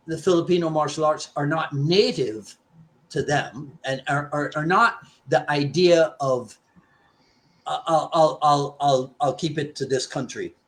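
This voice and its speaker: A man from the Caribbean, a martial arts instructor talking in a casual discussion, thoughtful and full of restarts. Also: on the high side. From Trinidad, raised in Barbados. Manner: thoughtful, restarts often, stresses key points.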